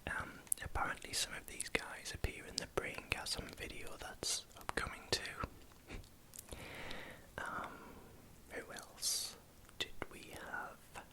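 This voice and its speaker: A man with a Geordie accent from northeast England, speaking as an ASMR creator in a hesitant, halting way while recounting things. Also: low-quality recording. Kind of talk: whispered ASMR vlog, recounting.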